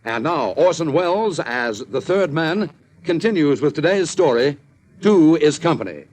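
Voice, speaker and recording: A man's voice: fairly high pitch, animated, unhurried, darkish tone. A man announcing formally on an old radio show, in a declamatory style. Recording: old radio recording over music. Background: music.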